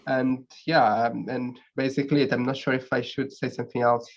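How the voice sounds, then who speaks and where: Halting man, dull lo-fi audio. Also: medium pitch, little pitch movement. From Cape Verde. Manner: halting, hesitant. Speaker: postdoctoral researcher introducing himself. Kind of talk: casual, unprepared self-introduction. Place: online seminar call.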